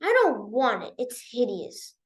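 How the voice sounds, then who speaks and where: A woman's voice: a little high, big pitch swings, measured pace. A woman voicing a proud, vain young princess with an indignant, emphatic manner in a storybook reading. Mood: angry.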